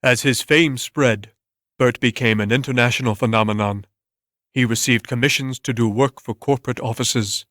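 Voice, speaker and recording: A man's voice: medium pitch, fairly even intonation, normal speed, fairly bright tone, no echo, studio quality. A man narrating a scripted story as part of a dramatic reading.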